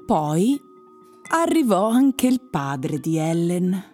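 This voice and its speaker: A woman's voice: fairly low pitch, very animated, drawn-out words, darkish tone. A woman reading scripted story narration for a radio drama. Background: music.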